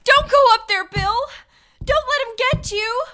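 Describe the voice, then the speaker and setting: An actress playing an anxious, pleading wife in an amateur radio horror drama. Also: female voice, extremely high pitch, a little slow, bright, forceful tone, full character voice. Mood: fearful, pleading. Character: anxious, pleading wife afraid for her man.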